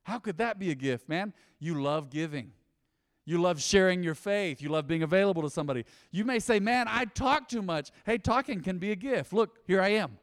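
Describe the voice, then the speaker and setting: A man preaching a sermon as pastor, animated and conversational, with frequent asides to the congregation. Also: high voice, moderate pace, big-room sound. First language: English. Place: church.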